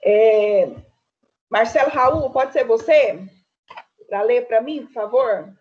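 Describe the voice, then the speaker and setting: A Brazilian woman with a fairly high voice teaches an art class online, talking through paintings in a chatty, easygoing way. She keeps checking in with her students as she goes. The audio is dull and lo-fi, like a video call. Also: slow pace, darkish tone, slight background noise. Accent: Brazilian Portuguese.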